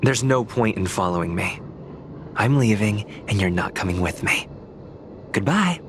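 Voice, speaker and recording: Cold, low-voiced dismissive man. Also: lively intonation, unhurried, background noise. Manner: curt, scornful. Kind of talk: video game voice acting. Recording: loud music behind the voice.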